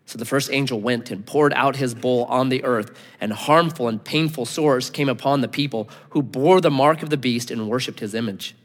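A man preaching a sermon as a pastor, earnest and emphatic, dropping to low, intense tones for weight. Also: moderate pace, few pauses. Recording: long hall reverb.

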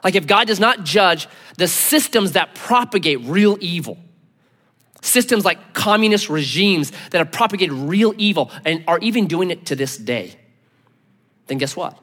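A man preaching a sermon as a pastor, earnest and emphatic, dropping to low, intense tones for weight. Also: animated, normal speed, a bit bright. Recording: long hall reverb.

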